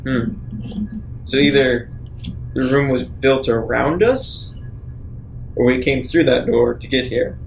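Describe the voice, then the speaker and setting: A man's voice: mid-range voice, slow pace, vocal fry, pauses often, slight background noise, low-quality recording. A man talking casually as a player in a tabletop roleplaying game, musing and asking questions.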